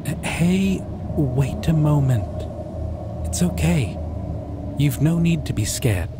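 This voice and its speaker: A man voicing a shy, gentle male dragon in a fantasy roleplay, hesitant and reassuring. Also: a little high, slow, pitched above the performer's own voice. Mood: gentle concern. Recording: loud steady background noise.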